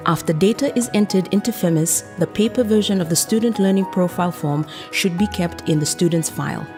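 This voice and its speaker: A woman with a slightly low voice narrates a training film over clearly audible music. Her delivery is scripted and measured, explaining each point in an instructional way. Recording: music behind the voice. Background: background music.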